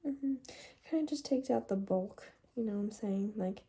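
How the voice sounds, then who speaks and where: Dark-toned, creaky soft-spoken ASMR woman. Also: mid-range voice, normal speed. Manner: gentle, casual, reassuring chat to a client. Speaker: ASMR creator role-playing a hair stylist.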